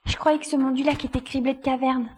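A resolute, impatient woman pilot played by an actress in an adventure audio drama. The voice is fairly high and brisk, with noticeable noise behind it. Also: level tone, darkish tone, lightly acted. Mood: frustrated. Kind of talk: French audio drama adapted from a youth novel.

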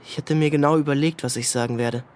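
A young actor playing a self-assured, probing teenage boy from a wealthy family in a radio drama, cool and deliberate. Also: a little high, fairly even intonation, darkish tone, slight background noise, lightly acted. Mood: cool recounting. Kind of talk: German radio drama, recounting and dialogue.

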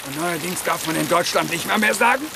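Imperious old German emperor, radio drama: creaky, highish voice, wildly animated, blustering, loud rain and noise behind. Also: male voice, brisk. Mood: indignant.

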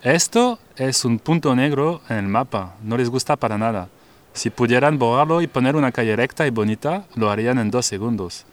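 Guy chatting for a documentary, middle pitch voice, just telling it how it is. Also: animated, normal speed. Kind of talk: conversational documentary testimony.

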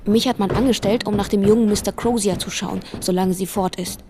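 An actress plays a teenage housemaid in a radio drama. She speaks matter-of-factly at a medium pitch, with clear noise and clicks behind her. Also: fairly even intonation, brisk, natural voice. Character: teenage housemaid narrating her summer job.

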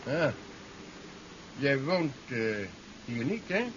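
A man's voice: medium pitch, animated, takes it slowly. An actor playing a suspicious, curt policeman in a 1970s radio drama. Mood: suspicious, stern. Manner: curt, interrogating. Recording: dull, noisy 1970s radio recording.

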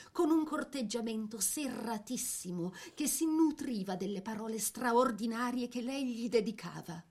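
A woman narrating a scripted radio drama in a measured, expressive storytelling manner. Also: mid-range voice.

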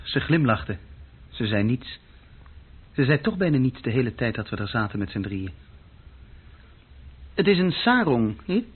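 A man's voice: medium pitch, very animated, unhurried, pauses often, breathy edge. An actor playing an uneasy, insecure young junior clerk who tells his story hesitantly and intimately in a radio play. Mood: reflective. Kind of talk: radio play acting, intimate first-person narration. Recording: dull, muffled old radio recording.

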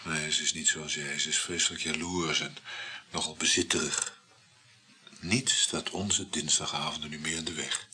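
An actor in a radio play voicing a probing, jealous married man carrying on an affair, with a husky voice. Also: rumbling low, steady tone, normal speed, bright, forceful tone, exaggerated voice. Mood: subdued, earnest. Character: married male lover, insecure, probing, jealous.

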